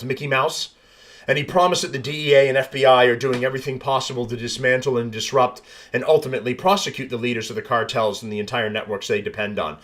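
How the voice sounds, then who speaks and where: A man's voice: mid-range voice, a bit bright. Man, podcast host, reads news then rants, blunt, swears, rambles. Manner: blunt, profane, rambling asides. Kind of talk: reading news and commenting.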